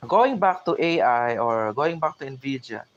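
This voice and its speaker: A man's voice: medium pitch, animated, unhurried. A man from the Philippines with a Filipino English accent, talking casually to his channel viewers, with many fillers and restarts. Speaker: YouTube channel host. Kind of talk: casual unscripted talk to camera. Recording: dull lo-fi recording with faint room noise.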